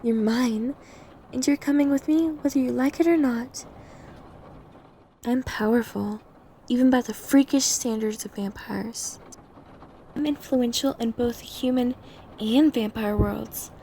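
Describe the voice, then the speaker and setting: Casting: woman to play possessive, domineering female vampire for ASMR drama; highish, creaky, intimate delivery. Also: unhurried, long pauses, dark tone, a little hiss or hum. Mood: menacing, condescending. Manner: breathy intimate delivery, often dropping to whispers. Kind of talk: ASMR roleplay audio drama.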